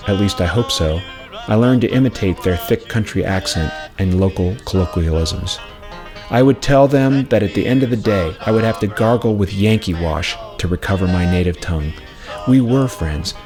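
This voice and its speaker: A man reading a scripted personal memoir in a measured, reflective storytelling way. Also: on the low side, animated. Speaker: narrator of own memoir, former radio station worker. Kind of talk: scripted narration. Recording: music playing behind the voice. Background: plucked acoustic string music.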